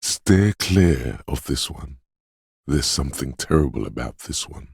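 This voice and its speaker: An eerie, brooding voice of intuition from a video game, extremely deep and very husky. It delivers cryptic, ominous narration slowly and intimately, with dramatic pauses, in a close, dry sound. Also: male voice, animated, dry recording, full character voice. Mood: mournful, haunted. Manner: slow, hushed, ominous, cryptic second-person pronouncements with dramatic pauses.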